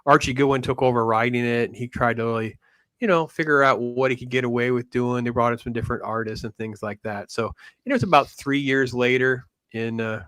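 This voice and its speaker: Middle-aged male stream host, quick talker, average pitch, dry close mic. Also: fairly even intonation, a bit bright, clean tone, no echo. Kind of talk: casual chat about comics.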